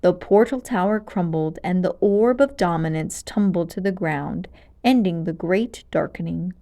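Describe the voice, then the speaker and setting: A woman narrating a children's storybook aloud in an expressive, dramatic and clearly paced way. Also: lowish voice, moderate pace.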